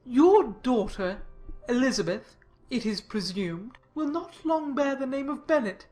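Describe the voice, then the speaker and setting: A woman's voice: high-pitched, lively intonation, dark tone, soft background music. A woman voicing a pompous, sanctimonious clergyman reading out his formal letter in a dramatised audiobook. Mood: self-important. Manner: pompous, formal, deliberate emphasis.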